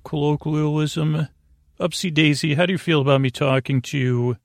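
A man with a creaky voice hosting a bedtime podcast, talking in a meandering, halting, digressive monologue meant to lull listeners to sleep. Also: mid-range voice, no room sound, clean studio sound. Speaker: podcast host.

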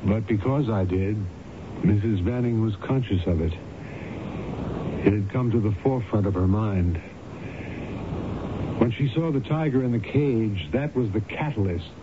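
An actor playing an earnest, remorseful hypnotist doctor in an old-time radio drama, with a formal, grave manner. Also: male voice, lowish voice, unhurried, long pauses, lightly acted. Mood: guilt-stricken. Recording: muffled, noisy old radio broadcast.

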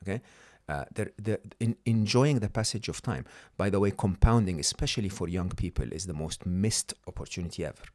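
A man's voice: low-pitched, animated, brisk, hardly any pauses, a little mellow, no echo, clean studio sound. A middle-aged man whose first language is not English, a podcast guest explaining ideas emphatically and often checking in with the listener. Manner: emphatic, checks in with "okay?" and "hmm?". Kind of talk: conversational coaching talk.

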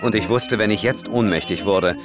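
An actor playing the hero of a horror thriller, narrating it in a dramatic audio drama style. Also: male voice, lowish voice, normal speed, close to an everyday voice. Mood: grave, suspenseful. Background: loud music.

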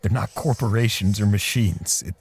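A man playing a bitter, defensive portrait artist in an audio drama, speaking haltingly with sighs and pauses. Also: lowish voice, gravelly, a little mellow, slightly rough, exaggerated voice. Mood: somber. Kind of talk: audio drama dialogue and first-person narration.

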